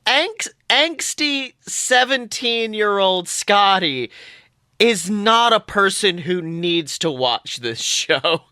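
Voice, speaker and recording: Give me an animated, bright-voiced young podcast co-host. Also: medium pitch, slow, smooth, dry recording, studio quality. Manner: animated, exaggerated, joking delivery. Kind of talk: casual fan podcast chat.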